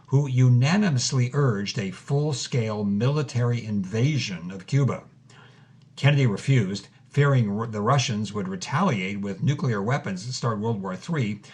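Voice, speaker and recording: An actor playing an earnest, inquisitive interviewer who lays out facts precisely and methodically in a dramatised interview. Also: male voice, lowish voice, a little mellow, natural voice. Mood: earnest, serious. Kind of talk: dramatised interview, measured exposition.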